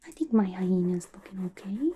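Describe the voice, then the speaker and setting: A woman playing a young, playful, violent-minded female villain in an ASMR roleplay, gossiping to the listener in a chatty, conspiratorial breathy whisper. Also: medium pitch, expressive, normal speed, lightly acted. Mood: gossipy, scornful. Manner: chatty, conspiratorial, giggly, colloquial. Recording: clear background noise, soft clicks.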